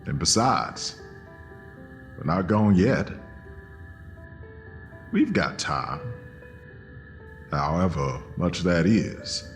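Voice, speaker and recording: Guy acting gentle hopeful philosopher type, deep and really unhurried, audio drama. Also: sing-song, soft background music, full character voice. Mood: wistful, hopeful.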